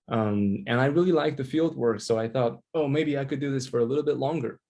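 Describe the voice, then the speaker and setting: A young man from China giving an online presentation over a video call, pausing often with ums and uhs. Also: medium pitch, a little mellow. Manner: hesitant, lots of ums and uhs.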